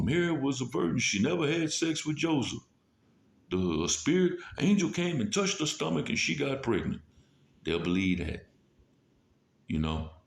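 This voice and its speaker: A man's voice: fairly low pitch, gravelly, darkish tone. A man preaching scripture in an informal, blunt and scornful way, with profanity and mocking rants, recorded in a roomy space. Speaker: street-style religious preacher. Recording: roomy, echoing sound.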